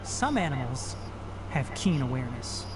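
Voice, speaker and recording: An actor playing a calm, scholarly young male forest ranger in a video game, speaking in a measured, precise way. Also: mid-range voice, noisy background, close to an everyday voice. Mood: calm, explaining. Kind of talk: scripted video game dialogue.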